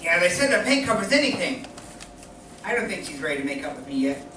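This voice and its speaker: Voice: mid-range voice, big pitch swings, fast, lightly acted. A young performer as wisecracking dim comic, very echoey. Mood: cheeky. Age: young adult. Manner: wisecracking, complaining. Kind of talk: vintage radio comedy routine on stage. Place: live stage in a community playhouse. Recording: very echoey distant hall, clear noise.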